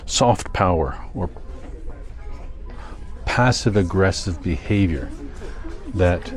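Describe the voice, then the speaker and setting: An older man with a Canadian accent, chatting casually in a rambling vlog, thinking aloud in a halting, self-correcting way. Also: low-pitched, expressive, over music. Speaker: vlogger.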